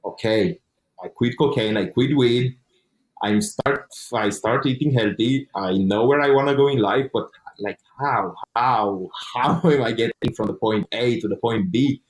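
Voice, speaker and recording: A man's voice: medium pitch, a little slow, low-quality recording. A young man telling his own story in an interview, speaking English as a second language, earnest, with frequent restarts and casual swearing. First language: not English. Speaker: interview guest.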